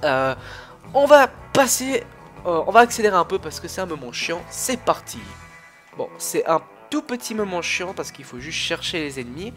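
A man's voice: high-pitched, big pitch swings, moderate pace, a bit bright, faint music behind. A young man with a cold who coughs now and then, chatting casually and rambling through his commentary on a strategy game, full of fillers and restarts. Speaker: gaming YouTuber.

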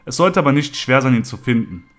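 A plain-spoken, reassuring policeman in a horror audio story, read by the male narrator. He has a medium-pitched voice with faint music behind it. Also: expressive, fairly quick, a bit bright, close to an everyday voice. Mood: matter-of-fact. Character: matter-of-fact, reassuring male police officer.

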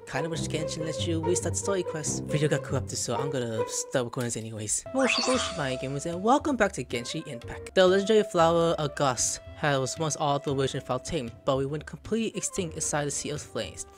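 A man's voice: a little high, lively intonation, fast, few pauses. A young man giving casual, exclamatory let's play commentary in an informal, reactive way. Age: young adult. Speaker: gaming host. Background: game music.

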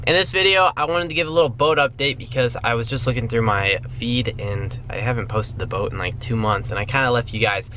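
A young man talks loosely to his handheld camera, full of fillers, in a voice of ordinary middle pitch. He films at arm's length, outdoors and in a garage. A faint hiss sits under the sound. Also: a little fast, hardly any pauses, rough recording. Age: young adult. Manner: relaxed, rambling, many fillers. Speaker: vlogger. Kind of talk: casual vlog update. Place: outdoors at a storage lot, in a truck, and in a home garage.

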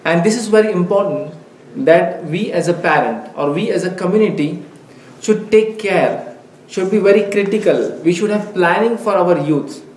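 Urdu-speaking guy giving a slow earnest lecture, kinda high voice, echoey room. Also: darkish tone. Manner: careful, deliberate, earnest. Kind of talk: religious lecture. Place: mosque meeting room. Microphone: headset mic. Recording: echoey room, faint hiss.

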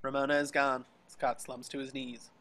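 A man's voice: mid-range voice, level tone, normal speed, sharp tone. A man reading scripted action descriptions as narrator of a table read over a video call.

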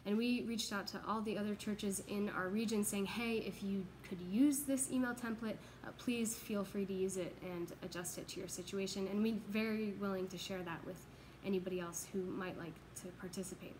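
A woman's voice: medium pitch, steady tone, normal speed, hardly any pauses, a little mellow, a little hiss or hum, poor audio. A young American woman giving an earnest, explanatory, informal video update as a church pastoral associate. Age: young adult. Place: large open indoor office space with exposed ductwork.

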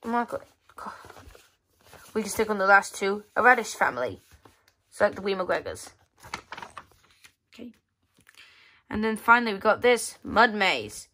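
A woman casually reads through a children's magazine, halting as she reads and adding offhand muttered asides. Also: mid-range voice, sing-song, bright, forceful tone, slight background noise. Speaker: magazine reviewer. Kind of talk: casual read-aloud walkthrough of a children's magazine. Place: indoors at a tabletop.

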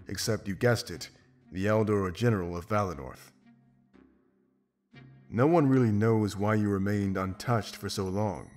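Casting: confident, pragmatic wolf sellsword for a fantasy game, male actor, low register, blunt delivery over music. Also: darkish tone, natural voice. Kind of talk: video game voice acting. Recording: music behind.

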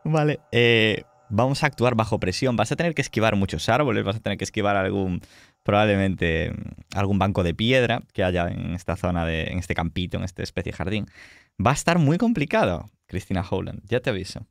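A man with a Castilian accent runs a tabletop roleplaying game. His voice is fairly low and recorded close and dry, as he narrates scenes and rules conversationally. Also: expressive, a little fast, vocal fry, no room sound. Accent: Castilian Spanish. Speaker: game master.

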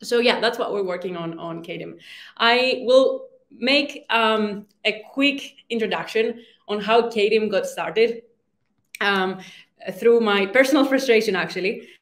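Young woman, startup founder presenting, mid pitch, lots of uhs, echoey room. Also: measured pace. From Greece. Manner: hesitant, frequent ums and restarts. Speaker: startup founder giving a talk. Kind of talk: conference presentation. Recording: roomy reverb.

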